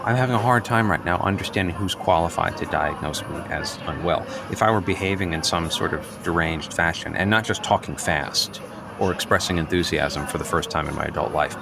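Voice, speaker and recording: A man's voice: sits low, background noise. A man improvising a radio-play role as a manic, defensive office worker who philosophizes in earnest, hesitant rambles.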